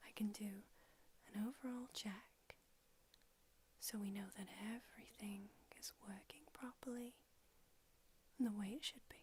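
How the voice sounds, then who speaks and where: A woman's voice: medium pitch, dark tone, a little hiss or hum, close to an everyday voice. A woman whispering in an ASMR roleplay, voicing a brusque, haughty old female vampire doctor with a dry manner.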